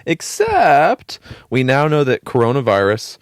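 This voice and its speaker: A man's voice: medium pitch, huge pitch swings, measured pace, dry recording, clean studio sound. A young man co-hosting a podcast, telling a long story in a casual, rambling way with fillers and the odd swear word. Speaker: podcast co-host. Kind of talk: casual chat.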